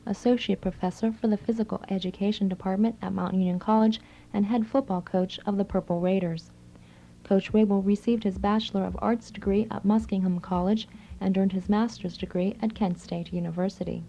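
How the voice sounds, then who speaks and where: Woman reading radio host script, soft mellow voice, bit muffled old tape sound. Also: mid-range voice, vocal fry, a little hiss or hum. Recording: slightly dull old reel-to-reel radio tape.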